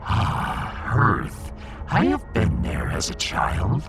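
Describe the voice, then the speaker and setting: A man playing a pompous, menacing alien fish emperor in a sci-fi audio drama, with a gravelly, theatrical delivery. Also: sits low, huge pitch swings, over music, heavily acted. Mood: grandly boastful. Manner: pompous, theatrical, slow and deliberate.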